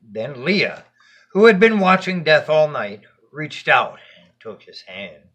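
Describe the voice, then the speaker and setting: Male storyteller, bright forceful tone, husky edge, expressive bedtime narration, deliberate phrasing. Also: fairly high pitch.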